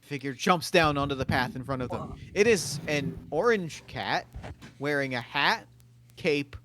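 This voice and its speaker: A man reading the stage directions in a table read, in a quiet, matter-of-fact way. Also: on the high side, expressive, unhurried, noisy background.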